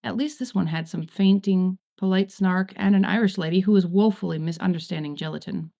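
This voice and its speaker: A woman reading a story aloud in an expressive storytelling style. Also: fairly low pitch, brisk, vocal fry. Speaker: fan fiction reader.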